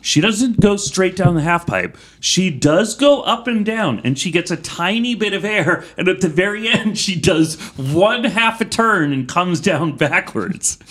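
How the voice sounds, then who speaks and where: Man, podcast co-host, high voice, animated, enthusiastic, interrupting. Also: measured pace, few pauses. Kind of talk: casual debate chat.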